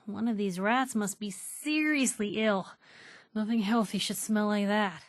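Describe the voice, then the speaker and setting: An actress playing a sardonic, plucky young reporter with an American accent, making casual companion remarks in a video game. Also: female voice, medium pitch, a little slow, dry recording, close to an everyday voice. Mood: wry. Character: wry, plucky young female reporter in a post-nuclear wasteland.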